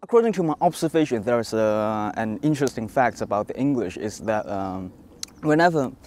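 Soft-spoken young man, low voice. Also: animated, a little fast. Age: 20s. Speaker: student interviewee. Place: outdoor park. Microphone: lapel mic.

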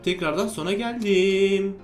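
A man's voice: high voice, unhurried, faint music behind. A man hosting a gaming video, giving exclamatory, bantering commentary to a co-player and often repeating instructions. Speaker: gaming video host playing a character named Steve. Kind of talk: excited game commentary with a co-player.

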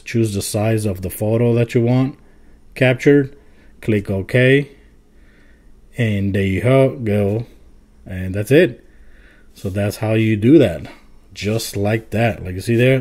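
A man's voice: fairly low pitch, slow, darkish tone. A man hosting a casual tech tutorial, explaining things informally step by step. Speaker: tech video host. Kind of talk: casual phone app tutorial.

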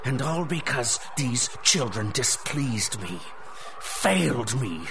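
An actor playing a menacing, mock-merciful lord of the rats in a radio drama, pompous and taunting. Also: male voice, mid-range voice, very animated, a bit bright, a little hiss or hum, heavily acted. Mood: cold threat. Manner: pompous, taunting, drawn-out questioning. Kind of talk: 1930s-style radio drama acting.

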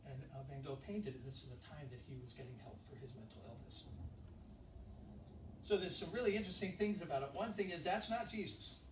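Middle-aged pastor, echoey hall from afar. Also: male voice, mid-range voice, noisy background. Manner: relaxed, chatty, asks the congregation questions. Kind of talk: conversational sermon. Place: church fellowship hall, indoors. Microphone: handheld mic, filmed from far back. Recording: echoey room from far off.

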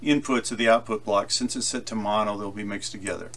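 Low-voiced man giving a casual tutorial. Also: fairly even intonation. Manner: informal, halting, thinking aloud. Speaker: tutorial presenter. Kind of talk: casual software tutorial talk, explaining as he demonstrates. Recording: loud guitar effects music over voice. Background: loud electric guitar with delay effects.

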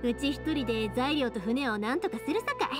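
Game casting: spirited young Kansai woman, high voice, earnest and reassuring, over music. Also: very animated, brisk. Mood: friendly, thoughtful. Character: spirited, caring young woman who makes fireworks. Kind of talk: video game voice acting. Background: background music.

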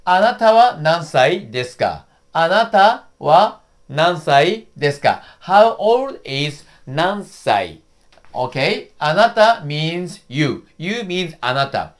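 A Japanese-accented man in his fifties, a native Japanese speaker, teaching a casual lesson from a small studio room. He talks slowly, drills words again and again, and his pitch swings up and down a lot. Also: very animated. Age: 50s. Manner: slow, repetitive drilling with cheerful laughs. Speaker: YouTube host and teacher.

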